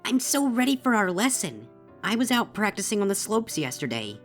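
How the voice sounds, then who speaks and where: A woman's voice: mid-range voice, sing-song, normal speed, lightly acted. A woman voicing an eager, trusting young female changeling student in a chirpy, earnest storybook reading. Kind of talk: audiobook-style character dialogue. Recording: music behind the voice.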